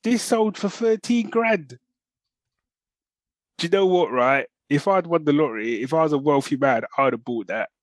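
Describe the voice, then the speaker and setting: A man's voice: a little high, expressive, moderate pace, no room sound, studio quality. A man, co-hosting a podcast with rambling, colloquial, casual chat about the news. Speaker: podcast co-host.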